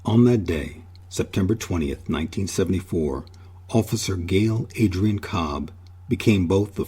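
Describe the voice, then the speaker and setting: A man hosting and narrating a scripted history documentary in a measured, solemn manner. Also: deep voice, creaky, dark tone, husky. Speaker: host and narrator.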